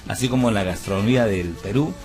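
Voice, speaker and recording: A low-voiced man with a Peruvian Spanish accent, speaking as a musician guest on a radio interview. He talks earnestly and at length, full of hesitations and restarts. Faint music plays behind him. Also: quick pace, a little mellow. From Peru. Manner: earnest, many fillers and restarts. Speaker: musician, band founder.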